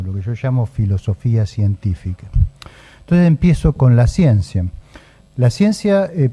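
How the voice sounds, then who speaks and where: A man with an Argentine Spanish accent lectures at a round table in a mid-range voice. He lays out his points step by step and pauses often on a drawn-out "eh". The recording sounds muffled and lo-fi. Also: mid-range voice. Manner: measured, methodical, frequent "eh" pauses. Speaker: academic speaker at a round table. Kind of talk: formal academic lecture.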